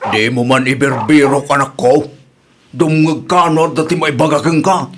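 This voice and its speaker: A man's voice: medium pitch, normal speed, close to an everyday voice. A man playing a worried, cautioning village father in a radio comedy drama, fretful, with an Ilocano accent. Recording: clear noise of barking dog. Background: dog barking.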